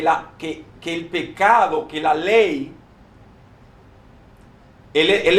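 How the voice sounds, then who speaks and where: A man reading Bible verses aloud and explaining them in an informal discussion, often halting and repeating words. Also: fairly high pitch. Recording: echoey room.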